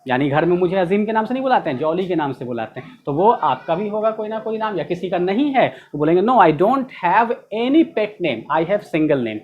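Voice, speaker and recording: A man's voice: high-pitched, big pitch swings, normal speed, few pauses, lo-fi sound, slight background noise. A man teaching spoken English in Hindi, playfully acting out sample dialogues.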